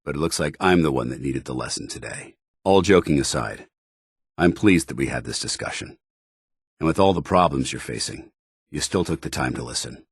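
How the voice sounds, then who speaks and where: Deep-voiced American military commander. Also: male voice, animated, husky, no room sound, studio quality, exaggerated voice. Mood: sincere. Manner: formal, measured military diction. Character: stern, earnest, duty-bound male military commander. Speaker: voice actor in a video game. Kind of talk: video game companion dialogue.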